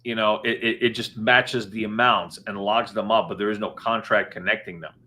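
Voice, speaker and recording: A man's voice: lowish voice, fairly even intonation, moderate pace, sharp tone. A man chatting casually from his home office, rambling with many fillers and restarts. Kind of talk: casual live-stream chat.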